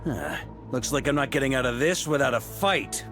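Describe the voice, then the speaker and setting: A man's voice: mid-range voice, moderate pace, lightly acted. An actor playing a confident, commanding veteran male knight commander in a video game. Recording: game audio over music.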